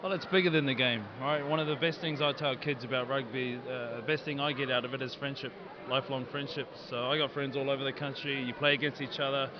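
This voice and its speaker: New Zealand rugby captain, easygoing. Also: male voice, medium pitch, steady tone, quick pace, hardly any pauses, muffled recording, a crowd in the background. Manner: easygoing, laughs often, optimistic. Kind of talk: casual outdoor interview.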